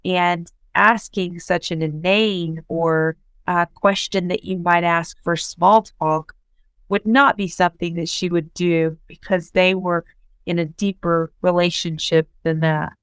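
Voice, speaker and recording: Slow, clear-voiced woman teaching English. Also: lowish voice, steady tone, no echo. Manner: patient, explains words with repeated simple synonyms.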